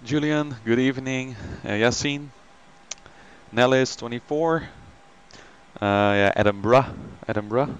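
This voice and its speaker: A man's voice: medium pitch, a little slow, clear, resonant tone, muffled recording. A man hosting a flight simulator live stream, chatting casually with many ums and greeting viewers by name.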